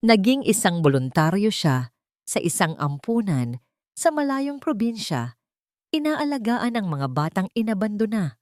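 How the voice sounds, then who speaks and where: A woman's voice: mid-range voice, very animated, measured pace, darkish tone, no echo, studio quality. A woman reading a scripted first-person dramatic story as an audio narrator.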